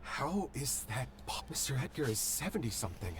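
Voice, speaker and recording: A shaken but stubbornly loyal young male from an anime-style game. The voice is very low for a woman, with faint ambience behind it. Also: very animated, brisk, background noise. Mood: distressed.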